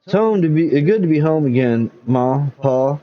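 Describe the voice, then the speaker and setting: Man doing a young soldier home from war, folksy, easygoing, highish voice, lo-fi. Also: expressive, moderate pace, a little hiss or hum, natural voice. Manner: plainspoken, folksy. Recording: dull lo-fi recording.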